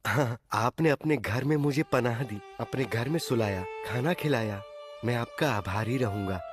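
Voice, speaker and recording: Man doing a polite cartoon traveler, courteous, mid-pitched, music behind. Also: normal speed, natural voice. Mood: grateful. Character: polite lost male traveler, magical.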